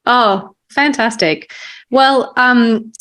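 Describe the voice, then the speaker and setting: A woman's voice: a little high, drawn-out words, a bit bright. A woman with an Australian accent, talking conversationally as the host of a podcast interview.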